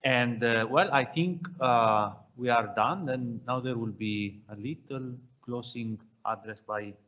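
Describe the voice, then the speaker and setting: A man's voice: medium pitch, slow. Man closing an online session. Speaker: session chair. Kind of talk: closing remarks. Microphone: video call. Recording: dull lo-fi video call audio.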